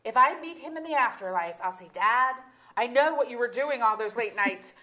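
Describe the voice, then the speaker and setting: Actress doing fed-up middle-aged mom, higher pitched, frank and sardonic, naturalistic stage play. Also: female voice, animated, moderate pace, pushed and bright. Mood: exasperated. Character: exasperated middle-aged mother of young twins, frank. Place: auditorium stage.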